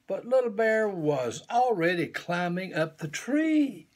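A man in his seventies reading a children's picture book aloud expressively in a small room at home. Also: sits high. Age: 70s. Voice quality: gravelly, husky. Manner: slow, deliberate, animated.

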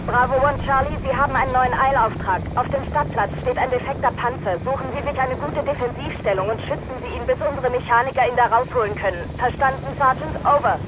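An actress playing a stern army dispatcher who gives clipped, formal orders over military radio in a video game. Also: female voice, high voice, fast talker, hardly any pauses, noisy background. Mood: stern, reproachful.

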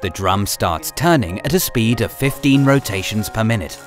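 Low, lively male training film narrator. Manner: measured, instructional. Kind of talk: scripted narration. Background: music.

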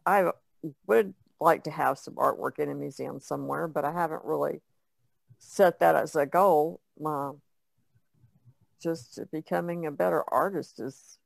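A woman's voice: deep voice, unhurried, vocal fry, dry recording. A woman artist in casual podcast conversation, speaking in a modest, understated way.

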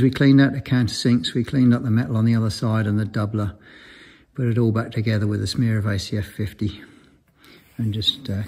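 Husky guy explaining technical stuff off the cuff, mid pitch, matter of fact, bit hesitant. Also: darkish tone. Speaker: aircraft maintenance engineer presenting. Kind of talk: informal technical explanation to camera.

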